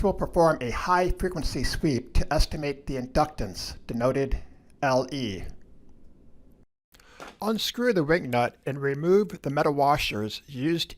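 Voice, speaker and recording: A man with a moderately high-pitched voice narrates a scripted technical lesson. He moves through each instruction in a measured, procedural way, as a lab instructor would.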